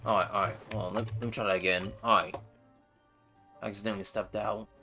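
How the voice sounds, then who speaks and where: A man's voice: lowish voice, little pitch movement, quick pace, bright, forceful tone, faint music behind. A man giving casual live commentary as he plays a game, laid-back and muttering reactions to himself. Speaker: game streamer.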